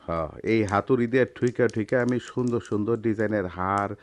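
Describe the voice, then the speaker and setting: A man's voice: lowish voice, fast talker, close to an everyday voice. A man playing a humble, worried middle-aged village goldsmith and father, speaking a Bangladeshi rural dialect in a radio drama. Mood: irritated. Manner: deferential, pleading. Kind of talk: Bengali radio drama acting.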